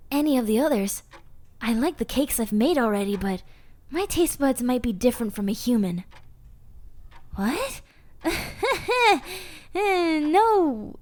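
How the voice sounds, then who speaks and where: A woman's voice: on the high side, darkish tone. A woman voicing a sweet, eager, scatterbrained monster girl in a roleplay audio drama, chatting and rambling bashfully to the listener. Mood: bashful, tempted, then flustered, playful.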